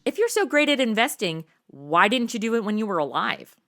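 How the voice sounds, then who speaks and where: A woman's voice: medium pitch, very animated, fairly quick, sharp tone. A woman playing a brash, flippant undercover gal in a comedy audio drama, with casual, exaggerated wisecracking delivery.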